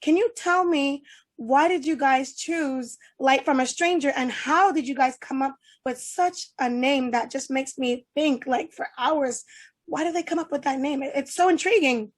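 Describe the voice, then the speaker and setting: A woman hosting an online interview, talking to her guests over a video call. Her voice is high and she sounds enthusiastic and effusive, piling on praise. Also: moderate pace. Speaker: host.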